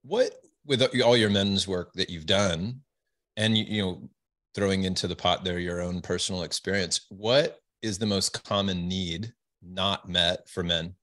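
A man's voice: low voice, a little slow, fairly bright tone. A man in his forties casually interviewing a guest as a podcast host. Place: indoor room, video call.

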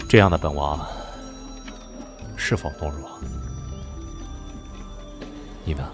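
A man playing a scheming, world-weary young prince in a Chinese period audio drama, speaking in a theatrical, drawn-out way with sighs. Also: extremely low pitch. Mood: wistful. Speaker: lead voice actor in an audio drama. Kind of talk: period audio drama acting with inner monologue.

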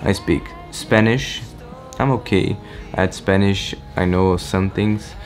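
Deep-voiced teen boy, Portuguese native. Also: takes it slowly, soft background music. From Brazil. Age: teens. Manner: excited, rambling, restarts often. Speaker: vlogger. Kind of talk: casual vlog update. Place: small bedroom, indoors. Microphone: camera-mounted shotgun mic.

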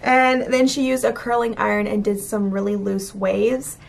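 A young woman chatting excitedly in a casual vlog, filming herself at arm's length, with a slightly raspy voice. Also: mid-range voice, moderate pace. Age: young adult. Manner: bubbly and gushing. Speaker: lifestyle vlogger. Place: car and city streets. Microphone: camera at arm's length.

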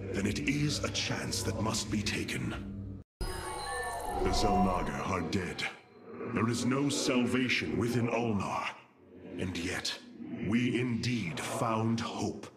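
Slow, noble alien commander over music. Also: male voice, fairly low pitch. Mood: solemn, reflective. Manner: solemn, formal, declamatory. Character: noble, resolute male alien leader, solemn and righteous. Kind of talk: video game voice acting. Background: loud orchestral game music.

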